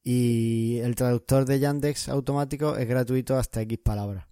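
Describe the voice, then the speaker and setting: A man's voice: medium pitch, steady tone, normal speed, warm tone, smooth, no echo. A man from co-hosting a podcast, explaining and advising listeners in casual chat. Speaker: podcast co-host.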